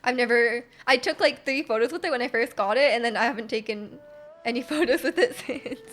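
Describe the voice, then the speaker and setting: A woman live streaming a game, chatting casually with an excitable manner and often repeating her phrases. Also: on the high side, a little fast. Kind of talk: casual live stream chat while playing. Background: game music.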